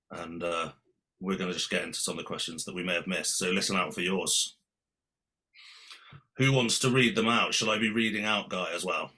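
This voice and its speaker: A man hosting a casual podcast chat, with a soft, soothing voice, joking and rambling. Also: deep voice. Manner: jokey, rambling, laughs often. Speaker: podcast host.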